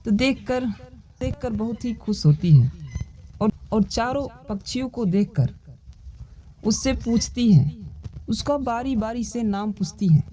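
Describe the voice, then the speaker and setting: A narrator telling a children's cartoon story in a halting, repetitive way. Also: medium pitch, lively intonation, warm tone. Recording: dull lo-fi sound, music behind. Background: music.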